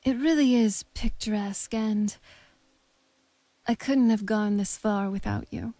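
An actress voices a young vampire woman in a fantasy game, wistful and shy with a dry wit. Her delivery is unhurried and halting. The tone is soft and dark, recorded very dry and close. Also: on the high side, dry recording, close to an everyday voice. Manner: halting, soft-spoken, hesitant. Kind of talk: intimate video game dialogue acting.

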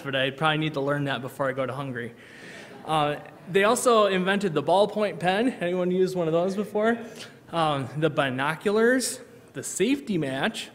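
A man in his thirties, a missionary giving a church presentation from the pulpit, earnest with many ums and uhs. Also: a little high. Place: large church sanctuary, at a pulpit. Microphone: pulpit microphone, far camera. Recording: long reverb of a church hall.

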